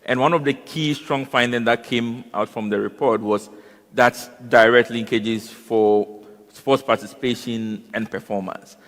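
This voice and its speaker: A man speaks on a conference panel in a mid-pitched voice. He halts often and restarts his phrases. A long reverb from the hall trails after his words. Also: steady tone, a bit bright. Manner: halting, repeats words and fills with "you know" and "um". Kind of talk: panel discussion.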